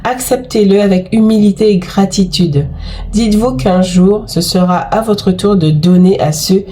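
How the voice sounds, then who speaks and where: A woman's voice: mid-range voice, moderate pace, warm tone, faint music behind. A woman with a cold giving a chatty, effusive tarot card reading to her viewers, full of exclamations and fillers. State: has a cold. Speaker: tarot and oracle card reader.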